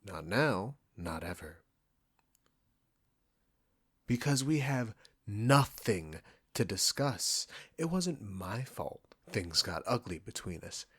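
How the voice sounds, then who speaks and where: A man's voice: lowish voice, sing-song, slow, pauses often, fairly bright tone, husky, heavily acted. A man playing a proud, defensive, condescending restaurant chef in a scripted roleplay spoken straight to the listener. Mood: angry, defensive. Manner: condescending, combative, sarcastic, swearing.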